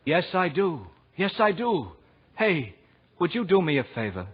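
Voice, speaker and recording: An actor playing a broke, deadpan, unimpressed theater manager in a 1940s radio comedy. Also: male voice, on the high side, a little slow, breathy edge, exaggerated voice. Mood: unimpressed, flat. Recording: muffled 1940s radio broadcast.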